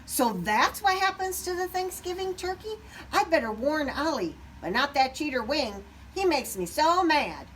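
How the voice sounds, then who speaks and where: Woman playing an indignant, excitable, high-voiced female turkey. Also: normal speed, a little hiss or hum. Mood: alarmed, angry. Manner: emphatic, exclaiming.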